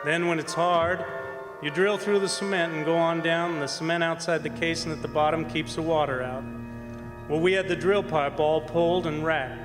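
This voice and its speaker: An actor playing a folksy, easygoing oil rig roughneck who tells his story in a colloquial way, in stage radio drama style. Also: fairly high pitch, steady tone, normal speed, close to an everyday voice. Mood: folksy storytelling. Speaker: actor and narrator in a radio play. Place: performing arts center stage. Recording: reverberant hall, music behind.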